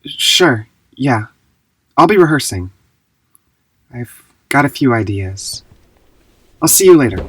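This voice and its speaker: An actor playing an intense, proud, self-assured dancer with a husky voice in a fiction podcast. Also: mid-range voice, big pitch swings, takes it slowly, long pauses, no echo, heavily acted. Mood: proud, curt. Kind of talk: naturalistic fiction podcast acting.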